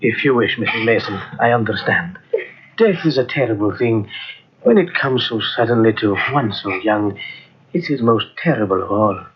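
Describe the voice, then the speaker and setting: An actor playing a formal, gentlemanly, solicitous family doctor with a husky voice in an old radio drama. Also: male voice, lowish voice, animated, moderate pace, slight background noise, lightly acted. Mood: consoling. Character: courtly, solicitous male family doctor and suitor. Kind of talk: old-time radio acting. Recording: dull old radio recording.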